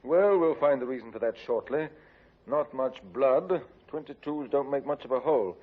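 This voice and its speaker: An actor playing a calm, firm police inspector questioning a suspect in a 1950s radio drama. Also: male voice, mid-range voice, moderate pace, lightly acted. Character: stern male Scotland Yard inspector. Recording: dull old 1950s radio recording.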